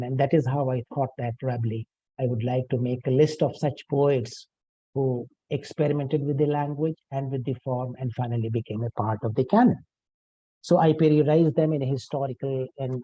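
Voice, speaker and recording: Older male academic, native Urdu speaker; very dark, slightly husky voice, measured delivery. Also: medium pitch, no echo, highs cut off. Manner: measured, formal, with frequent "uh" pauses and self-corrections. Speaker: author presenting his book. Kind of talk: formal academic talk.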